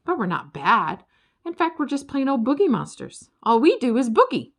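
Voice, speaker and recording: Woman doing a big goofy nice boogie monster from a kids book, simple n friendly, real bouncy pitch. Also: huge pitch swings, moderate pace, vocal fry, exaggerated voice. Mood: sheepish. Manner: good-natured, simple. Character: big, friendly, harmless boogie monsters who love dancing. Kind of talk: children's storybook character voice.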